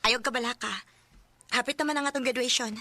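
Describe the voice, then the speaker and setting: A woman's voice: on the high side, fairly even intonation, fast, sharp tone, no echo. A woman with a Cebuano Filipino accent acting a sweet, coy young student and dutiful daughter in a radio drama.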